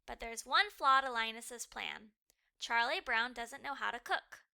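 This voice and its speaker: A woman reading a children's story aloud as a storyteller, with expressive, emphatic delivery. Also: a little high, a little slow, vocal fry. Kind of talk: scripted storybook narration.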